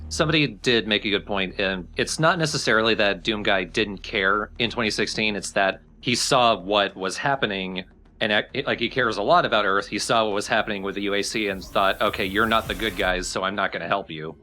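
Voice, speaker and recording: Bright, low-pitched man chatting about games. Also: a little fast. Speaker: let's play co-commentator. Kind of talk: casual opinion chat.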